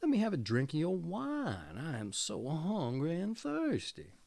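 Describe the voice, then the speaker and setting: A man's voice: fairly high pitch, huge pitch swings, measured pace, gravelly, a little mellow, no room sound, exaggerated voice. A man voicing a little old gray man of the forest in a storybook, courteous and pleading in manner. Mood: begging.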